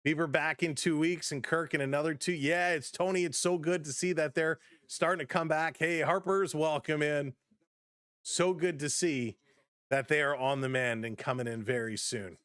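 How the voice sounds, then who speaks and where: Upbeat guy doing live baseball play-by-play, kinda high voice, going a bit hoarse, dry close sound. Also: steady tone, normal speed, a bit bright, no room sound. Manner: chatty, upbeat, greets viewers by name. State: slightly hoarse, losing his voice. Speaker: live-stream baseball host. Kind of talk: casual play-by-play with chat reactions.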